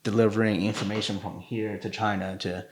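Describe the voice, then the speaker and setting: A man co-hosting a podcast in casual chat, talking haltingly with fillers and restarted phrases. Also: on the low side, level tone, echoey room. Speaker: podcast co-host.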